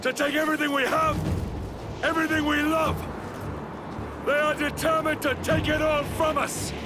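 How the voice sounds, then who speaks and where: An actor playing a fierce, resolute Roman army commander shouting orders on the battlefield in a video game. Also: male voice, very high voice, little pitch movement, moderate pace, heavy background noise. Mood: rallying, urgent. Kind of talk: cinematic video game acting. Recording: very loud music and battle din behind.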